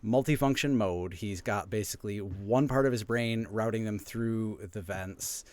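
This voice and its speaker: A man's voice: fairly low pitch, unhurried, a little mellow. A man playing a tabletop role-playing game, talking casually as he improvises his character's actions.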